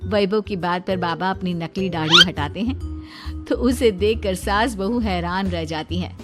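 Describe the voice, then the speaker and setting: A woman's voice: fairly low pitch, a little slow, over music. A woman narrating a scripted story.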